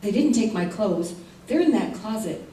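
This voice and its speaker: A woman's voice: medium pitch, lively intonation, normal speed, mellow, close to an everyday voice. Woman acting fragile wife, echoey stage. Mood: urgent, determined. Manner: earnest, melodramatic. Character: fragile, trusting injured wife turning distraught and determined. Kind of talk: old-time radio drama acting on stage. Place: theater stage before a live audience. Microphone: stage mics, recorded by far camera. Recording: echoey stage hall, far mic, faint noise.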